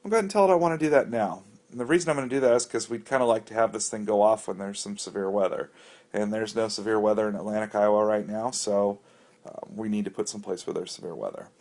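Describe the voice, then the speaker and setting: A man's voice: fairly low pitch, fast talker, darkish tone. An American man narrating a casual, unscripted app walkthrough in a conversational, explanatory and somewhat hesitant way. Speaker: app reviewer giving a walkthrough.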